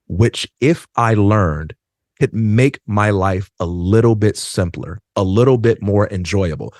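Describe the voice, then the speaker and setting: A man's voice: on the low side, no room sound. Earnest man, dry studio sound. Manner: earnest, measured, slows down for emphasis. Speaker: podcast guest. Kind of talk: reflective conversation. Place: dark studio. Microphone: studio mic on a stand.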